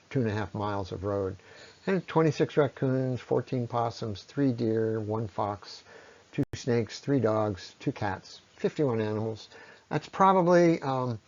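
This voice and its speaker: A man giving an explanatory science lecture in a measured way, pausing often and letting his phrases trail off at the ends. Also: mid-range voice, darkish tone. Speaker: presenter on ecology. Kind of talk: explanatory lecture on environmental impact. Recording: dull, lo-fi voice recording.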